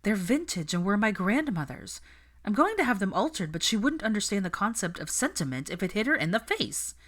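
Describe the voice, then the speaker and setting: Audiobook role: exasperated young witch bride, read by a woman, emphatic venting, very animated pitch. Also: a little fast. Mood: frustrated. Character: stressed, exasperated young witch planning her wedding.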